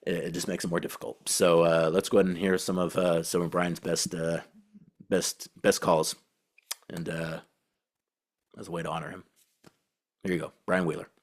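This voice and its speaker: A man hosting a team podcast, speaking casually and haltingly as he thinks aloud in a tribute to a colleague. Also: low-pitched, level tone. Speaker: podcast host and team beat reporter.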